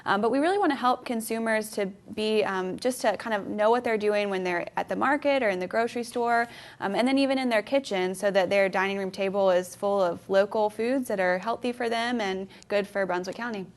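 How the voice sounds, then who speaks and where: A woman guest on a local TV show, talking earnestly about local food work with many pauses and restarts. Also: medium pitch. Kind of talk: TV interview.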